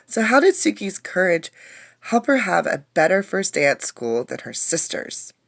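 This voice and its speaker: Teacher lady doing a kids read-aloud, bit of creak in her voice, dry close sound, asks the listeners stuff. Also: on the low side, normal speed, dry recording. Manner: expressive storytelling, addressing young listeners directly. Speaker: teacher reading a picture book aloud. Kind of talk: interactive children's read-aloud with questions.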